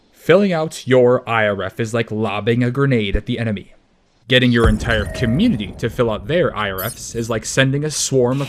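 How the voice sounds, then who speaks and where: A man playing a slick male propaganda announcer in a measured promotional delivery. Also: mid-range voice, natural voice. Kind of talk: mock propaganda ad. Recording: noisy background hiss.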